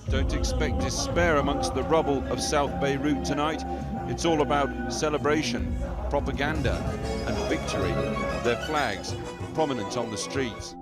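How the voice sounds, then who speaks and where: A man's voice: medium pitch, moderate pace. A man giving a field report for television news, in archival footage. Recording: archival TV report under loud music.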